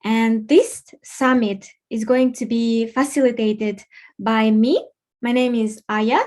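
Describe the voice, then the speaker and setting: A young woman from Kazakhstan hosting an informal online event, speaking haltingly with pauses mid-sentence. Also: fairly high pitch, steady tone, a little mellow. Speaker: event host and facilitator. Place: online video call.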